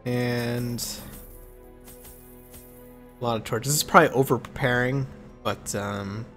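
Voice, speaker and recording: Man, nasal from a cold, mid-pitched, chatty let's play host, thinks aloud. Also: unhurried, faint music behind. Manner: relaxed, thinking aloud with fillers. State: congested from a cold. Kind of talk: casual gameplay commentary.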